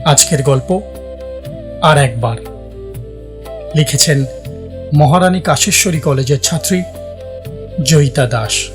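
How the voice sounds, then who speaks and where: A man's voice: a little high, takes it slowly, pauses often. A man presenting an audio story show with a scripted introduction. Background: clear background music.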